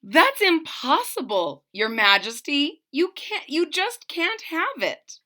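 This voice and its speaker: Woman as worried storybook page boy, slightly high, slow, respectful, pleading. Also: very animated, sharp tone, exaggerated voice. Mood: shocked, pleading. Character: earnest, worried young page boy pleading with his king.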